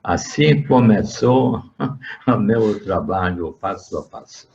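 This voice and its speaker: An older man from Hungary with a Hungarian accent, giving an interview and recounting his working life, speaking haltingly with many fillers and restarts. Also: lowish voice, animated, a little mellow. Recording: dull lo-fi sound.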